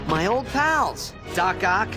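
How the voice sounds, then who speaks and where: An actor playing a young wisecracking superhero in a video game cutscene, sarcastic and quippy, with an American accent. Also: male voice, high voice, big pitch swings, slow pace, heavily acted. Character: young wisecracking male superhero, breezy and self-deprecating. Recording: loud music behind the voice.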